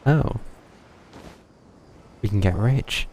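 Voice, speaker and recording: A man's voice: fairly low pitch, drawn-out words, darkish tone, a little hiss or hum. A man giving casual live gameplay commentary, muttering and thinking aloud to himself. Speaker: game streamer.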